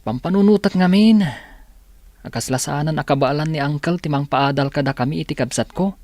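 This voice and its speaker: A man's voice: fairly high pitch, a little fast, darkish tone, no room sound, lightly acted. A man with an Ilocano accent playing an earnest, respectful teenage boy in a radio drama. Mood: hesitant, respectful.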